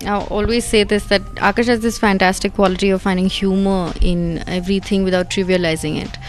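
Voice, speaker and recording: A woman, a debut lead actress, answering interview questions carefully and pausing often. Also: medium pitch, level tone, faint music behind.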